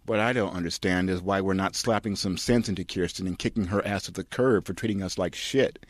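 A man's voice: sits low, fairly even intonation, brisk, no room sound. A man voicing a fed-up tough-guy husband who talks bluntly and sarcastically in a comic script reading.

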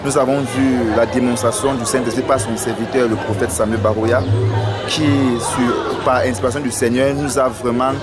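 Young man, earnest, fervent, street interview, handheld mic, loud crowd behind. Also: medium pitch, steady tone, moderate pace. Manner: earnest, fervent religious praise. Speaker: church convention attendee. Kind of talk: street vox pop interview. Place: outdoors on a residential street.

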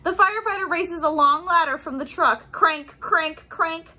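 A woman's voice: extremely high pitch, normal speed. A young adult woman reading a children's picture book aloud expressively, acting out sound effects and adding simple explanations. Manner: animated, acts out sound effects and exclamations.

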